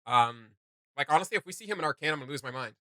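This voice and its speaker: A man hosting a casual reaction video, giving gushing, slangy commentary in a halting flow. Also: fairly high pitch, lively intonation, sharp tone, dry recording.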